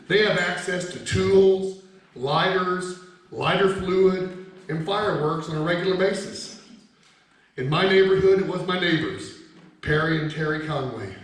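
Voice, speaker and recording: American male author, fifties, comic memoir reading, fairly high voice, distant in a reverberant hall. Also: a bit bright. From Indiana, United States. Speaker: author reading own essay. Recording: very echoey room, distant mic, faint noise.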